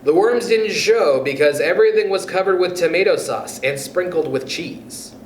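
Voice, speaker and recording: A man reading a children's story aloud as a storyteller, in an animated, expressive way. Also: high-pitched, moderate pace, far from the mic. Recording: roomy echo with faint background noise.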